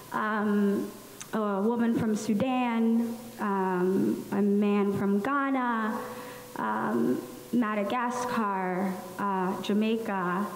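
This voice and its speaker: A young woman from Somalia, speaking on a panel into a handheld microphone, telling her story slowly with many pauses and fillers. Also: medium pitch. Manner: slow, many ums, soft laughs. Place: large room at a public panel event. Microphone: handheld mic. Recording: echoey hall with faint hiss.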